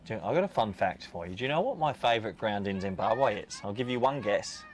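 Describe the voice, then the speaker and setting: A man's voice: fairly low pitch, lively intonation, quick pace, slight background noise. Chatty Australian male cricket commentator. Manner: chatty and jokey, reads out viewer questions. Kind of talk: live sports commentary.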